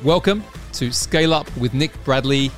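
A man's voice: mid-range voice, normal speed, a bit bright, faint music behind. A man from England hosting a podcast interview, chatty and enthusiastic, often restarting his phrases. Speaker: podcast host.

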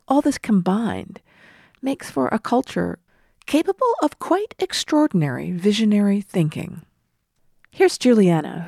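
A woman's voice: fairly low pitch, vocal fry, darkish tone, dry recording, studio quality. A woman hosting a travel podcast, narrating a scripted story in a reflective, wry manner. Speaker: podcast host.

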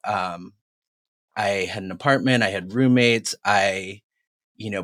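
A man's voice: fairly low pitch, dry recording. A man in his forties from Massachusetts tells his life story in a candid, reflective way, with frequent fillers. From Massachusetts, New England. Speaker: guest telling his story. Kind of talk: recorded personal story.

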